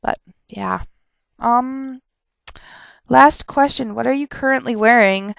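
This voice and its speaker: A young woman rambling casually on a webcam vlog, chatty and quick to laugh and rant, her nose stuffed up from a cold. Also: a little high, gravelly, dry recording, highs cut off. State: stuffed up with a sore throat. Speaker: vlogger answering viewer questions.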